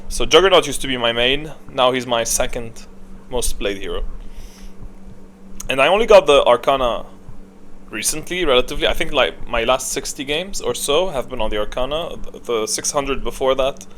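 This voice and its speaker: A man's voice: medium pitch, normal speed. A man giving casual, unscripted tier list commentary as a gaming host, thinking aloud in a rambling, opinionated way. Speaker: gaming content creator, host. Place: indoors, voice-over on screen recording. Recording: clear steady background noise.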